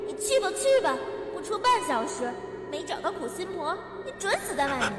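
A woman's voice: extremely high pitch, big pitch swings, brisk. A woman playing a young female cat demon in an audio drama, bratty, impatient and boastful, with whiny, huffy delivery. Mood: blunt, haughty. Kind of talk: Chinese audio drama acting. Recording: echoing voice under loud music.

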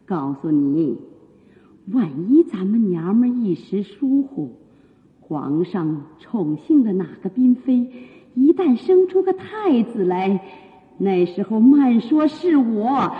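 A woman playing a haughty, jealous, scheming imperial consort in a period radio drama, with drawn-out theatrical delivery. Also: on the high side, big pitch swings. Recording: muffled phone-like band, echoing hall reverb.

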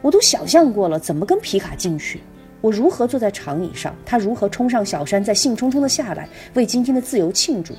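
A woman narrates literary prose in a medium-pitched voice with very animated intonation. It is a scripted podcast reading, with music clearly playing behind her. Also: brisk. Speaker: podcast host.

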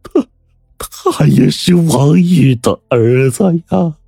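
Wailing, frantic middle-aged squire, high-pitched. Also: male voice, slow pace, vocal fry, mellow, slightly rough, no echo, clean studio sound, heavily acted. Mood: anguished pleading. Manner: stammering, wailing, pleading.

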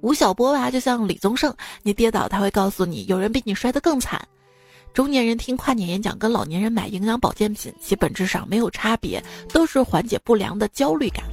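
A woman's voice: on the high side, lively intonation, fairly quick, a little mellow, breathy edge. A young woman hosting a chatty comedy monologue in a playful, teasing and theatrical manner. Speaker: host of a comedy joke show. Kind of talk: chatty humorous monologue over music. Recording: music bed behind the voice. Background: background music.

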